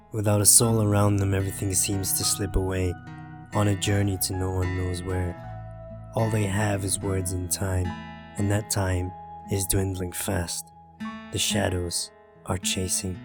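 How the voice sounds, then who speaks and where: A man's voice: medium pitch, darkish tone. A man hosting a gaming playthrough, reading a visual novel aloud with informal, jokey asides and occasional swearing. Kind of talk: narrated playthrough with dramatic reading and casual asides.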